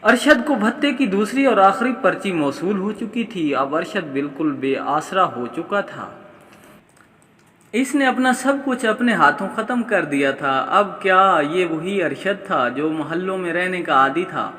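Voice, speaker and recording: Male audiobook narrator for dramatic fiction, sharp and forceful tone, heavy hall reverb. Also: sits high, expressive, a little slow. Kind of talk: dramatic scripted story narration.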